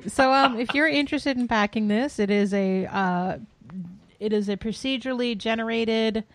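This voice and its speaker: A woman's voice: a little high, moderate pace, noisy background. A woman co-hosting a podcast and presenting game news in casual chat.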